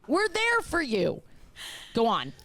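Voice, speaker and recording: A woman's voice: fairly high pitch, wildly swooping pitch, slow pace, a bit bright, dry recording. A woman in her thirties co-hosting a podcast with casual comedic banter and playful, mock-serious teasing. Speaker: podcast co-host.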